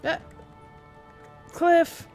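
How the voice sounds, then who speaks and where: A woman's voice: drawn-out words, darkish tone, faint music behind. A woman chatting casually and thinking aloud as she livestreams a video game. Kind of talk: casual game stream commentary.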